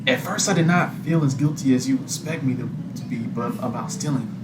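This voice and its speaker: A man's voice: medium pitch, a little fast. Guy doing storytime narration, echoey room, noisy background. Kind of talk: scripted personal storytelling narration. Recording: roomy echo, noisy background.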